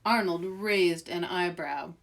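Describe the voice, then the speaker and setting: A woman's voice: lowish voice, slow, vocal fry, a bit bright, far from the mic. A woman hosting story time for young children, reading aloud expressively and speaking directly to her listeners.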